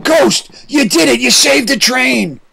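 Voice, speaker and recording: An actor playing an excitable, wisecracking man from the district attorney's office in an old-time radio drama. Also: very high voice, takes it slowly, gravelly, husky, heavily acted. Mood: relieved.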